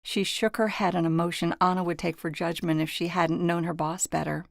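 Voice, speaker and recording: A woman's voice: on the low side, little pitch movement, a little fast, gravelly, dry recording, studio quality. A woman reading scripted audiobook narration from a novel.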